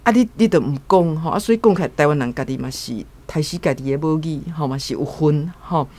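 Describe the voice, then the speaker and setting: A woman's voice: sits low, quick pace, dark tone. A middle-aged woman with a Taiwanese accent speaks as a guest commentator. Her delivery is earnest and admonishing, reflective but conversational.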